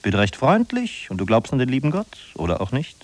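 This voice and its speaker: Husky, sardonic male narrator, swooping delivery. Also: medium pitch, wildly swooping pitch, brisk, no room sound. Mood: mocking, insistent. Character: sardonic male narrator of a bleak big-city inventory. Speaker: narrator in a radio play. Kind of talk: 1950s German radio drama narration. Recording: husky-sounding 1950s radio recording from cassette.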